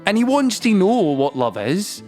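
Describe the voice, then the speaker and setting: A man narrating a scripted audio play as a storyteller, with deliberate phrasing and dramatic pauses, over a musical score. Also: high-pitched, big pitch swings, darkish tone, soft background music.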